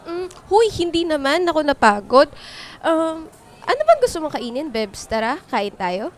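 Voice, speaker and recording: A woman playing an affectionate, teasing young college girlfriend in a Filipino radio drama, with an expressive, sweet-talking delivery. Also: high-pitched, normal speed. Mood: affectionate, reassuring. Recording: music bed under the voice. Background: background music.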